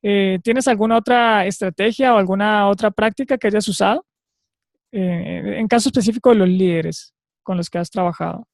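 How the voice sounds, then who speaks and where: A webinar host asking guests long, winding questions over a video call, repeating words along the way. The voice is mid-pitched and sounds dry and close, with no room echo. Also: normal speed, creaky. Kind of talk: interview questions.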